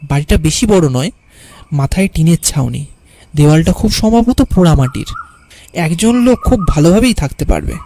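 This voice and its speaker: A man playing a carefree, stubborn young city poet who tells his own tale in an animated horror story. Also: on the high side, moderate pace, a little hiss or hum. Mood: calm storytelling. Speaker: narrator and lead character. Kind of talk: first-person story narration and cartoon dialogue.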